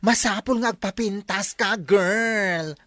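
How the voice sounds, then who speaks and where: A woman's voice: medium pitch, slow pace, fairly bright tone, dry recording, studio quality. A woman acting a chatty, teasing village hairdresser in an Ilocano comedy drama, with a Filipino Ilocano accent and theatrical exclamations. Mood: chatty, coaxing. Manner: theatrical exclamations, playful gossip.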